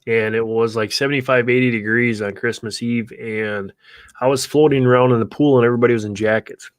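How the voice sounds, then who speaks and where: A man's voice: medium pitch, steady tone, brisk, no room sound. A man from Wisconsin with a Wisconsin accent, hosting a casual livestream chat from his home studio, rambling and easygoing, laughing often. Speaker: livestream host.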